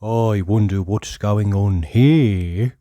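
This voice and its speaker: Nosy male busybody from a storybook, acted by a narrator: drawn-out, inquisitive musing, very slow, dry sound. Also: sits low, mellow, dry recording. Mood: curious.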